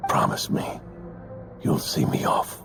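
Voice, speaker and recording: An actor playing a gentle, fatherly old knight near death, speaking softly and warmly in a game cutscene. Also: takes it slowly, over music, close to an everyday voice. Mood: gently coaxing. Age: older.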